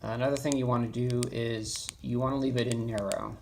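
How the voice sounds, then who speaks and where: A man presenting an informal, off-the-cuff software tutorial, halting and rambling as he thinks aloud. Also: mid-range voice, steady tone. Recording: clearly noisy, with keyboard clicks.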